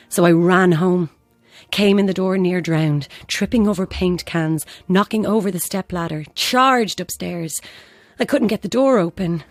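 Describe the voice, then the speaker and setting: A bereaved Irish mother, played by an actress, recounts a haunting in a measured, grave way. Her voice sits slightly low, with music underneath, as in an audio drama. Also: no room sound, natural voice.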